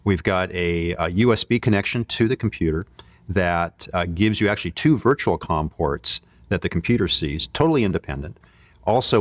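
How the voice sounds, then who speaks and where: Low-voiced guy doing a techy product demo, explains stuff conversationally, really dry sound no echo. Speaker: company presenter demonstrating radio equipment. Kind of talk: informal product demo presentation.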